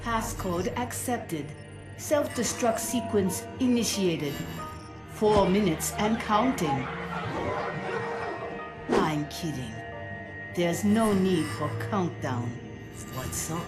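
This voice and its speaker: An actress playing a calm, dry female ship computer in a sci-fi motion comic, measured and deadpan. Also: medium pitch. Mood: deadpan, teasing. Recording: loud music behind.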